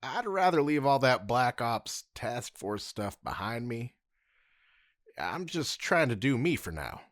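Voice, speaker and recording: A man's voice: mid-range voice, very animated, unhurried, no room sound, clean studio sound. A man playing a polite, hesitant former ranger turned civilian in an audio drama. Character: reluctant muscular male ex-ranger.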